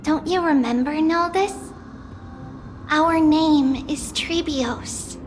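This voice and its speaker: A woman voicing an ancient high priest in a young girl's body, grave and formal, speaking of herself as "we". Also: takes it slowly, background noise. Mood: solemn.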